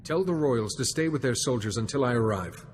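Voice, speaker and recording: An actor playing a steady, wise Jedi in a video game, speaking in a calm, measured manner. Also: male voice, mid-range voice, slight background noise, lightly acted. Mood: firm. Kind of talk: video game cutscene acting. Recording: long reverb, music underneath.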